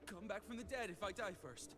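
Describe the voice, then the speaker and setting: A man plays a drained young student, his light, fairly high voice worn thin by fever and overwork. He stays stubborn and determined even while faint, and game music plays underneath. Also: a little fast, natural voice. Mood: weary. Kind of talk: game voice acting.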